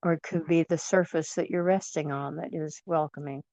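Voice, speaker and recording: A woman leading guided imagery in a soothing, gentle manner, leaving long pauses between phrases. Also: deep voice, steady tone, dry recording. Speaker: guided meditation leader.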